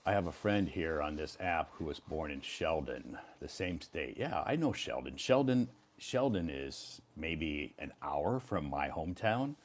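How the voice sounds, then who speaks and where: A middle-aged man from Iowa, live-streaming a casual chat with English learners, speaking slowly and repeating words clearly. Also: a bass voice, animated. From Iowa, United States. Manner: slow, repetitive, clearly enunciated for learners.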